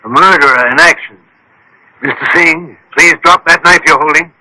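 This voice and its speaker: An actor playing a dry, unflappable detective in a radio drama, speaking in a measured, politely authoritative way. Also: male voice, on the high side, noisy background, close to an everyday voice. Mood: coolly triumphant. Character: dry, unflappable male detective directing a trap.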